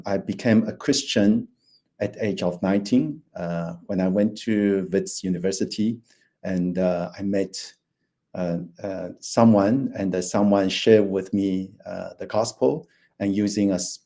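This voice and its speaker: A man in his fifties, originally from Taiwan, speaks over a video call as a financial planner giving a presentation. His voice sits low and sounds soft and mellow. He hesitates often, with many fillers and restarts. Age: 50s. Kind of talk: online presentation.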